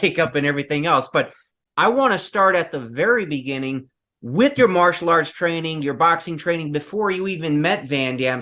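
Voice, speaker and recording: A man's voice: fairly high pitch, fairly bright tone. A man in his thirties hosting an interview from a room at home, enthusiastic and eager. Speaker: interview host. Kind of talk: casual interview. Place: home room with shelves of films.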